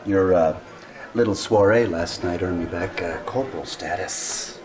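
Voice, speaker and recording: An actor playing a cheeky, boastful low-ranking soldier in a video game, with a jocular, chummy manner. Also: male voice, background noise. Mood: amused, chummy. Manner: jocular, drawn-out, chuckling.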